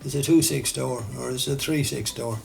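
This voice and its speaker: A man with an Irish accent teaching architectural CAD over a screen recording, relaxed and thinking aloud as he works, often correcting himself. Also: medium pitch, moderate pace, creaky, soft background music. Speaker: architectural designer and CAD tutor. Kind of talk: screen-recorded software tutorial.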